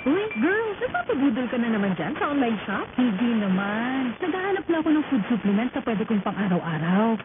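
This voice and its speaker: A woman's voice: a little high, sing-song, brisk, hardly any pauses, over loud music. Actress as chatty girlfriend in a tinny radio ad. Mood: playful, eager. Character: chatty woman friend keen on shopping for supplements. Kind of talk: acted radio commercial dialogue. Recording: tinny narrow-band audio, loud background noise.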